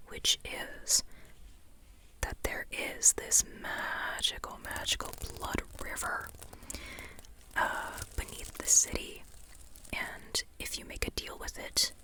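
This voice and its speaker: An ASMR host talks in an unvoiced, breathy whisper with a very bright, sharp edge. The delivery is slow and informal, rambling along in short hushed phrases with frequent pauses, like a book review shared between friends. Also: rough recording.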